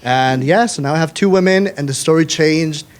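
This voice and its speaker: A man's voice: a little high, normal speed. A man guest who writes and directs his own play, explaining it earnestly in a casual live chat. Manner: earnest, grows excited.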